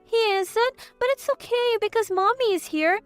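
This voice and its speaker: A woman's voice: very high voice, normal speed, dry recording, soft background music, voice pushed up. A woman voicing a sweet, caring little girl in a narrated story, childlike and innocent. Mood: concerned, loving.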